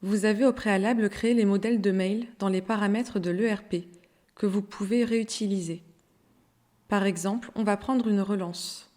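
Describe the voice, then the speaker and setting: A woman narrating a scripted software tutorial in an instructional, step-by-step way. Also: medium pitch, fairly even intonation, moderate pace.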